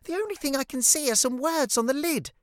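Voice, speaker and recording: An eager, helpful young boy from a children's story, played by an adult narrator. The voice is raised high and moves with plenty of animation, recorded dry. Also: expressive, brisk, no echo.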